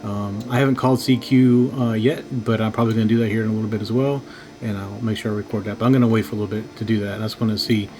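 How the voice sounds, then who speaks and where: A man hosting a casual, unscripted radio hobby video, talking in a halting, low-key way as he thinks aloud. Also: fairly even intonation, a little mellow, soft background music. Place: home radio shack.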